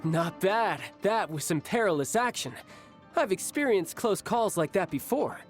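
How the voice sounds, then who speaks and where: A man's voice: sits high, animated, unhurried, faint music behind. A man voicing a confident young warrior in a video game, cool, self-assured and articulate. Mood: cocky, relishing. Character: confident young male warrior who relishes battle, cool and thoughtful. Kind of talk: animated video game voice acting.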